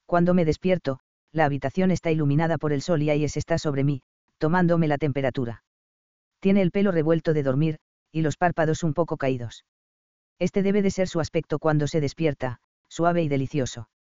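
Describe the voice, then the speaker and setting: Mellow man narrating an audiobook. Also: on the high side, brisk, clean tone, dry recording. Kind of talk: first-person romance audiobook narration. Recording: slightly dull dry audio.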